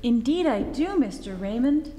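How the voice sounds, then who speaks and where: A woman's voice: on the high side, animated, normal speed, lightly acted. A woman playing a chipper old-time radio advertising announcer, perky and selling, recorded on a reverberant stage.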